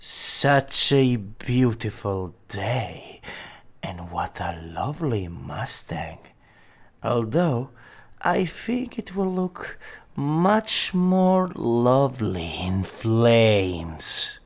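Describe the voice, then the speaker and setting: A man's voice: medium pitch, very animated, drawn-out words, full character voice. A man playing a sinister, courteous mafia enforcer in an audio drama, formal, theatrical and darkly amused. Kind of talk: audio roleplay acting.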